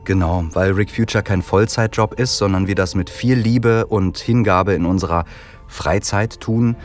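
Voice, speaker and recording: A man's voice: lowish voice, moderate pace, faint music behind. A man hosting a casual podcast chat, explaining things in a friendly way while he has a cold. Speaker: podcast host.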